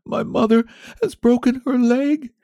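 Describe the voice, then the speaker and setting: A man's voice: sits high, moderate pace, creaky, dark tone, dry recording, studio quality, voice pushed up. A man playing a meek, tearful little shepherd boy in a storybook, pleading plaintively.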